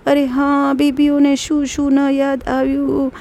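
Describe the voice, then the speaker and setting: Woman reciter for a mourning gathering, high voice held flat, lamenting tone. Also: measured pace, vocal fry. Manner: lamenting, addresses the mourners directly.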